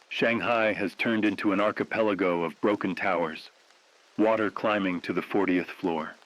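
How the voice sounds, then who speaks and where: A man playing a grave, defiant radio host at the world's end, delivering scripted news in a solemn, poetic, measured way. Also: low-pitched, a bit bright, rough recording. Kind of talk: scripted dramatic apocalyptic news broadcast.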